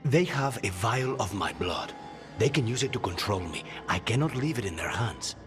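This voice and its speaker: A grim assassin with a demon inside him speaks low in short, clipped phrases as performed by a man. He is guarded and intense, in a cinematic fantasy game with loud music behind him. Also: animated, moderate pace, close to an everyday voice.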